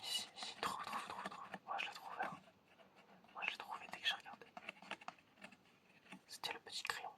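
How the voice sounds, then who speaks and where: Bright, whispering French-style ASMR examiner. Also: normal speed, long pauses. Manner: whispers throughout, repeating words softly; casual, encouraging, informal phrasing. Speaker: ASMR creator playing an examiner. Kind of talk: ASMR roleplay, testing the listener's senses.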